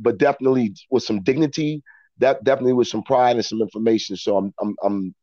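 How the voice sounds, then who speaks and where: A middle-aged American man telling reflective personal stories as an interview guest, in a halting way, often repeating words as he thinks. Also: lowish voice, dark tone, dry recording, lo-fi sound. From Philadelphia, United States. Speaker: interview guest, actor and storyteller. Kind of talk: reflective personal storytelling in conversation.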